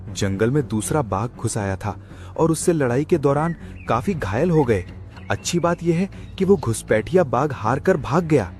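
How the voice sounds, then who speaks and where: A man voicing an earnest hyena messenger, urgent and respectful, in an animated moral story. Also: medium pitch, moderate pace, faint music behind, close to an everyday voice. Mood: earnest urgency.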